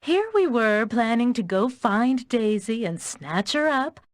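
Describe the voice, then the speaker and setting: A woman's voice: a little high, very animated, measured pace, no echo, clean studio sound. An actress playing a smug, vengeful young female villain in a video game. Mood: gloating, menacing. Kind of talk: scripted video game acting.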